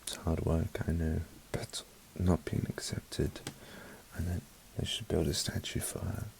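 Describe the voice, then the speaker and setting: A man from South Africa with a mixed British accent, giving an unhurried, soft-spoken ASMR album review in a half-whispered voice with wry asides, close to the mic. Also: sits low, level tone, vocal fry, slight background noise, low-quality recording.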